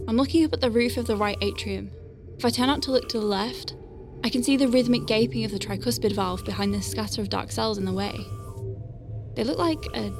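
A woman playing a flustered but awestruck space medic in an audio drama, chatty, nervy and expressive as she talks through her work. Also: a little high, natural voice. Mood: focused. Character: young female space medic Faye, flustered but awestruck, working inside star whale. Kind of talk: audio drama acting with first-person narration.

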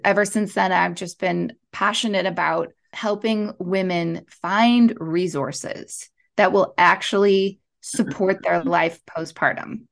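A woman in her thirties from Montreal, a podcast guest telling her story in an animated way with frequent laughs. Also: on the low side, gravelly. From Montreal, Canada. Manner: animated, laughs often, quick asides. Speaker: podcast guest, wellness coach. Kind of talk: casual interview storytelling.

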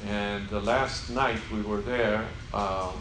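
An older man with a Swedish accent tells his testimony to a gathering in a low-pitched voice. He speaks haltingly, with many fillers and small laughs. The room is echoey and has a clear layer of background noise. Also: steady tone. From Sweden. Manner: halting, unhurried storytelling with fillers and soft laughs. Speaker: guest speaker.

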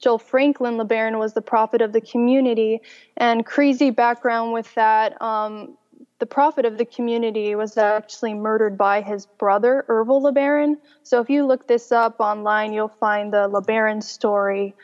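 A young woman from Mexico tells her story with cheerful ease and a slightly higher voice. The audio is muffled and lo-fi, with a long, lingering reverb behind her. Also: fairly even intonation, normal speed, a little mellow. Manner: cheerful, laughs often. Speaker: interview guest. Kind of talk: personal testimony in conversation.

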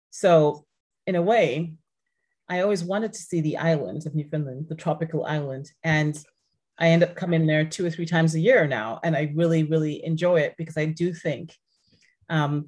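Woman with a low voice, french first language, guest on a podcast, earnest and chatty. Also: a little mellow. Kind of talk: casual interview conversation.

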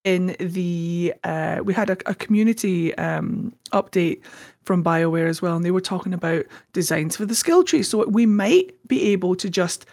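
A man co-hosting a fan podcast in casual chat, excitable and tripping over his words, with a slightly scratchy voice. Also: sits high, normal speed, vocal fry. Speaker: podcast co-host.

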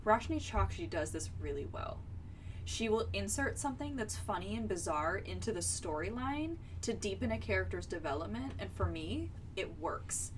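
Analytical woman, slightly distant, mid-pitched voice. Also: lively intonation. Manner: analytical, halting as she explains. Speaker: book podcast co-host. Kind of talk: casual book discussion. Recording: distant mic with background noise.

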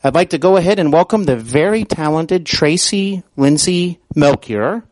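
A man hosting an interview show, speaking earnestly in a halting way as he introduces and questions his guest. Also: on the high side, expressive, darkish tone, no echo, poor audio. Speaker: podcast host.

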